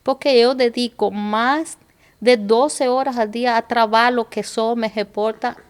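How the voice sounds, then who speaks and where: A woman from Cuba with a Cuban Spanish accent talks as a podcast guest. Her voice sits a little high, and she weighs her words with care. Spanish words keep slipping into her Portuguese. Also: fairly even intonation, unhurried, smooth. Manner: thoughtful, mixes Spanish into Portuguese. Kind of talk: casual chat.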